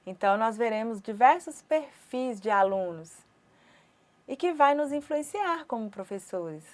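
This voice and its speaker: Woman, Brazilian Portuguese accent, fairly high voice, voicing a lecture, short measured phrases, pauses. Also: animated. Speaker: interpreter voicing a sign-language lecture. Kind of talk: spoken interpretation of an online course lecture.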